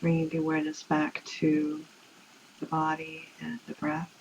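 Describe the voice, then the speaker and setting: A woman leading a guided relaxation meditation in an unhurried, soothing way, leaving long pauses. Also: sits low, monotone, darkish tone. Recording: muffled, with clear background noise.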